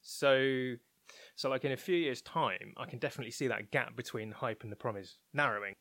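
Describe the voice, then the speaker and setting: Male interview guest, medium pitch, informal, candid explainer, very dry sound. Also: a little fast, vocal fry, fairly bright tone, no echo. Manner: informal, candid, thinking aloud. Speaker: interview guest, technology researcher at a broadcaster. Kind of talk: conversational interview, explaining opinions.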